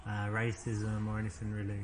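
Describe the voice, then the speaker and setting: A man from England with a softened London accent, flirting cockily in a dating game and stepping out to give earnest advice. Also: lowish voice, completely flat delivery, fairly quick, a loud crowd. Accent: London English, softened. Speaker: actor in a dating game, also giving advice. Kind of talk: acted flirting scenes and coaching asides.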